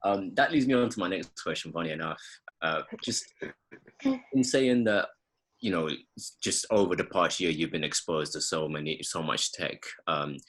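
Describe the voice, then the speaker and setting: A man's voice: deep voice, moderate pace, fairly bright tone. A man co-hosting a podcast, asking questions casually with many hesitant restarts. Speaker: podcast co-host.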